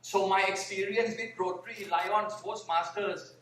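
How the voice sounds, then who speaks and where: Thai-accented man, unhurried, deliberate, repeats words, distant mic, roomy hall. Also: sits high, steady tone, fairly bright tone. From Thailand (Bangkok). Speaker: panel speaker, vegan activist. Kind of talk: conference panel talk. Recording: echoey hall, mic heard from far.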